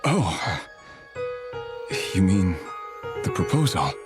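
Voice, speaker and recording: A man acting a soft-spoken, sorrowful young lover in a video game cutscene, with a gentle breathy delivery. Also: sits low, very animated, extremely slow, music in the background, full character voice. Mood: tender, hesitant.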